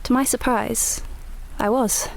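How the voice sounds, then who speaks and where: A higher-voiced, wry Edwardian young woman. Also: fairly even intonation, creaky, a little hiss or hum, poor audio. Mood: cosy, reflective. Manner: literary, measured, intimate storytelling. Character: young Edwardian woman, independent, wry, guarded preacher's daughter narrating. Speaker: narrator and lead actor. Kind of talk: scripted first-person radio play narration.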